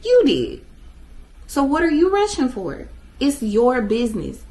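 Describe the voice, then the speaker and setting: A woman in her twenties talking to viewers in a casual motivational vlog, emphatic and animated, addressing them directly. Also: high-pitched, unhurried. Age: 20s. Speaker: vlogger.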